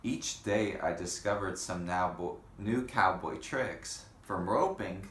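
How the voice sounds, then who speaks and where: A man reading a children's picture book aloud in an unhurried way, pausing for casual comments and questions. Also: low-pitched, a bit bright. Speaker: storybook reader. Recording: roomy echo with faint background noise.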